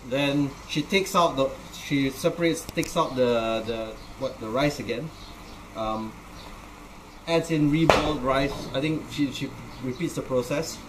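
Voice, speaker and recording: A young man from Malaysia, explaining local products to visitors in a small shop, pausing and restarting as he searches for words. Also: medium pitch, background noise.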